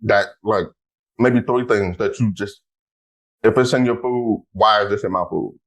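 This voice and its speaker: A man hosting a casual podcast, talking in an animated way, exclaiming loudly and speaking straight to his listeners. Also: on the low side, a little slow, low-quality recording. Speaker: podcast host.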